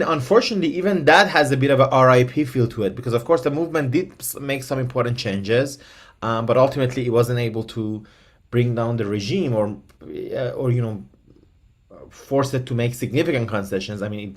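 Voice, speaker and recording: A man from Iran whose first language is Persian, answering interview questions at length in a thoughtful way with many fillers and restarts, heard over a video call. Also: medium pitch. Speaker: guest expert.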